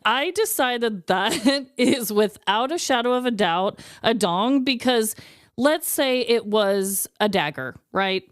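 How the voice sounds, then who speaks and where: A woman's voice: a little high, lively intonation, takes it slowly, clear, resonant tone, no echo, clean studio sound. A young adult woman co-hosting a podcast, blunt and emphatic, laughing often and swearing freely. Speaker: podcast co-host. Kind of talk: casual comedic chat.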